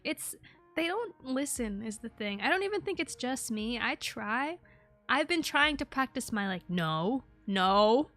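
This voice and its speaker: A woman's voice: a little high, lively intonation, unhurried, a bit bright. Giggly woman hosting a chat. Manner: giggly, laughs often. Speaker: host. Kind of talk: casual streamed chat. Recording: music playing behind.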